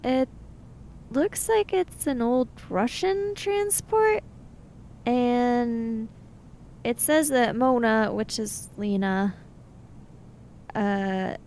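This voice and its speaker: A woman's voice: on the high side, very slow, pauses often, darkish tone, slight background noise. A woman playing a tabletop role-playing game, talking casually in a matter-of-fact, argumentative way. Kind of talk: casual in-character game discussion.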